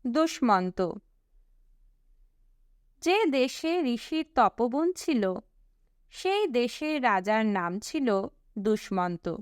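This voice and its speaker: A woman reading a storybook as an audiobook narrator, with a measured, deliberate storytelling delivery. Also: a little high, expressive, no echo, studio quality.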